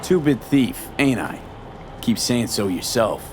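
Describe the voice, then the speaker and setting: An actor playing a cocky young street mercenary in a video game, with an American accent and a clipped, slangy, wry delivery. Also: male voice, medium pitch, expressive, a little slow, noisy background, close to an everyday voice. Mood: sardonic. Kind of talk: video game cutscene acting.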